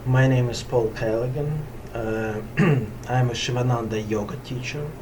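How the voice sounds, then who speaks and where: A middle-aged man with a Russian accent talks casually to camera as a yoga teacher, meditative and halting as he searches for words. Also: medium pitch. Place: small indoor room at home ashram. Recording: distant mic, clearly noisy room sound.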